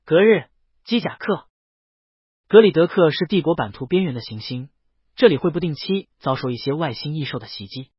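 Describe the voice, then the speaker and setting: Animated, slightly husky male storyteller. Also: fairly high pitch, no room sound. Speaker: audiobook narrator. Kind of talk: scripted first-person story narration. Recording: dry, dull lo-fi recording.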